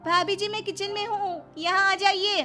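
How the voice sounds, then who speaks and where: Woman acting a lazy, scheming, high-pitched young daughter-in-law. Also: fairly even intonation, normal speed, bright, forceful tone, soft background music. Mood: chatty. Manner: exaggerated, whiny, sly.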